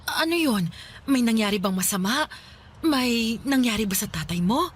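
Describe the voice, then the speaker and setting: A woman's voice: on the high side, lively intonation, moderate pace, a little hiss or hum, close to an everyday voice. A woman playing a middle-aged mother, apologetic but firm, in a radio drama. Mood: guarded, apologetic. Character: mother who left her family, middle-aged, guarded. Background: music.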